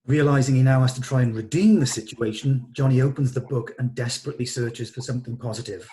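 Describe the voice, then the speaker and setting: A man's voice: medium pitch, fast, mellow, slight background noise. A man narrating stage directions from a script at a table read.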